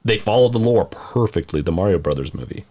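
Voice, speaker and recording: A man's voice: deep voice, expressive, quick pace, mellow. A man chatting casually as a podcast host, informal and playful in how he talks. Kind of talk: casual chat.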